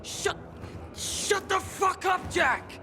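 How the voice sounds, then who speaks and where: A man's voice: very high voice, drawn-out words, raised voice, full character voice. A man acting a hot-tempered, resentful prisoner in a video game cutscene, accusing and foul-mouthed. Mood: furious. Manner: agitated, accusing, profane outbursts. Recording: music behind the voice.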